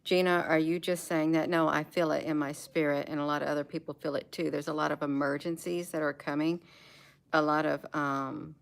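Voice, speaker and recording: A woman's voice: low-pitched, steady tone, fairly quick, vocal fry. An American woman chatting casually and in a rambling way at home, repeating words and phrases for emphasis. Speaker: vlogger. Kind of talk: casual rambling chat, showing gadgets. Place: indoors at home.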